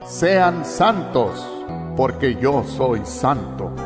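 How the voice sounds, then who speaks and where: A man's voice: medium pitch, very animated, very slow. A man reciting scripture quotations in a solemn, dramatized style. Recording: music bed under the voice.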